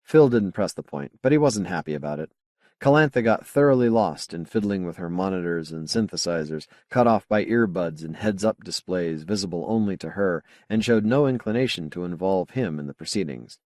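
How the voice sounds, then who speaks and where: A man reading a science fiction novel as an audiobook narrator, with measured, clearly phrased delivery. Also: sits low, lively intonation, darkish tone, dry recording, studio quality.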